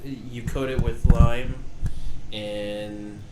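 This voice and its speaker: A man's voice: mid-range voice, little pitch movement, drawn-out words. Hesitant man from Peru, noisy recording. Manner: hesitant, many fillers. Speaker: podcast co-host. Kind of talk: casual chat.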